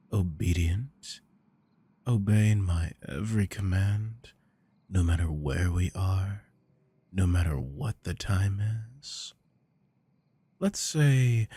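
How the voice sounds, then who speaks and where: A man acts out a dominant, possessive boss in an ASMR roleplay. His voice is very low and gravelly, husky at the edges. He coaxes and commands in a smooth, teasing murmur that slips toward whispers. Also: warm tone, full character voice. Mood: coaxing, commanding. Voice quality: deep, gravelly. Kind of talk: intimate ASMR roleplay to the listener.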